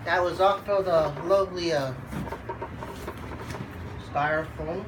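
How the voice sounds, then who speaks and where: A congested vlogger with a cold, unboxing a computer in a laid-back, halting way and talking to the dogs in between. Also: mid-range voice, background noise. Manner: halting, lots of fillers, chats to the dogs. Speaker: channel host unboxing a computer. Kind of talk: casual unboxing vlog.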